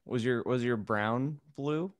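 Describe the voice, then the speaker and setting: A man's voice: medium pitch, takes it slowly, no echo. A man co-hosting a podcast in a casual, jokey, rambling group chat. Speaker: podcast co-host.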